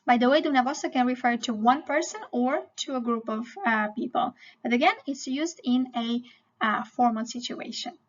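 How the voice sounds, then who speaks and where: A woman's voice: on the high side, normal speed, lo-fi sound. A woman teaching a language lesson, explaining didactically and often checking in with the learner.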